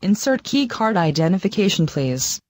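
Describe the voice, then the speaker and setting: A woman's voice: mid-range voice, sing-song, moderate pace, darkish tone, dry recording. Stiff robotic female computer voiced by a woman, dry. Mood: formal. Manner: stiff, formal. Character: stiff, polite robotic university gate computer.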